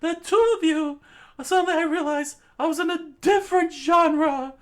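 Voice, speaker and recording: A woman voicing an anxious, excitable teenage boy who rambles and stumbles over his words. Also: very high-pitched, normal speed. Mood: earnest. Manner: rambling, excitable, breaks into laughs.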